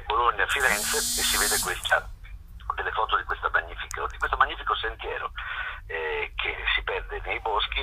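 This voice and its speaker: A man's voice: low-pitched, background noise. A man gives a spontaneous radio interview over the telephone, hesitating and repeating words as he explains. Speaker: festival curator and film producer, interview guest. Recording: harsh, lo-fi telephone radio call.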